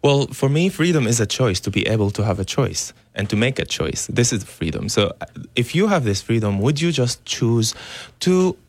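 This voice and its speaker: A young man from Syria, a radio guest speaking earnestly and haltingly with many fillers. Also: medium pitch, expressive, dry recording. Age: young adult. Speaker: guest, dance teacher. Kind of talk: radio interview. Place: radio studio.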